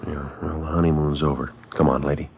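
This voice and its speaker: An American man playing a terse, unflappable police detective, narrating in clipped, deadpan 1950s radio drama style. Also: extremely low pitch, quick pace, husky, exaggerated voice. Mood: stern, curt. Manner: deadpan, matter-of-fact. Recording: muffled 1950s radio broadcast with music.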